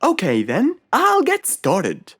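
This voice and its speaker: A woman's voice: mid-range voice, wildly swooping pitch, slow pace, breathy edge, no echo, studio quality, full character voice. A woman voicing a cheerful, helpful male fox handyman with a reassuring manner in a children's cartoon. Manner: reassuring, friendly.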